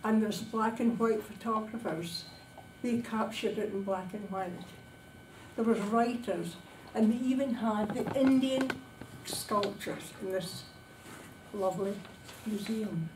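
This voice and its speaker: Echoey, distant woman giving a slow speech. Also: mid-range voice. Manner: dramatic, emphatic delivery in short phrases with pauses. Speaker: club speaker giving a prepared speech. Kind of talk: public speaking, descriptive travel storytelling. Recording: distant, echoey room recording with background noise.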